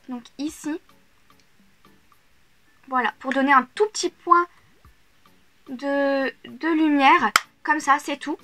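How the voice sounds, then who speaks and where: A woman's voice: sits high, pushed and bright. A young adult woman vlogging a casual makeup review at home, chatty and hesitant, often repeating words. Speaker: beauty vlogger.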